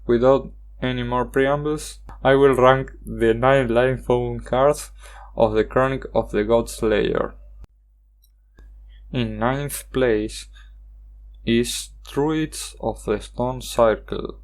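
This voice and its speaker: A young man from Argentina, a Spanish speaker, hosting a card game review voice-over in careful, halting English. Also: mid-range voice, fairly even intonation. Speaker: channel host.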